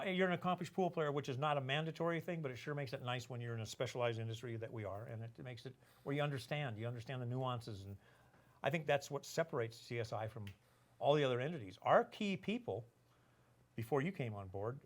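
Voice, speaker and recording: An older man hosting a casual podcast, rambling and joking, with lots of fillers and restarts. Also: medium pitch. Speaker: podcast host, organization executive.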